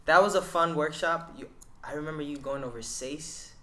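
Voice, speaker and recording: A man's voice: fairly high pitch, normal speed, pushed and bright. A man hosting a casual podcast, talking loosely with frequent restarts. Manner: loose, rambling, many restarts. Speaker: podcast host.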